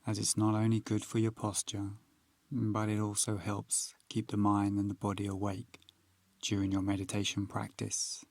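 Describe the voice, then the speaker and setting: A man leading a guided meditation in a calm, unhurried, instructional manner with long pauses. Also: fairly low pitch, creaky, dry recording.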